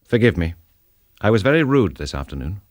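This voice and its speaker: An actor playing a brooding, brusque middle-aged widowed gentleman in a radio drama, with a clipped, commanding, well-bred manner. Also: fairly low pitch, very animated, fairly quick, dry recording, studio quality. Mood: courteous, contrite.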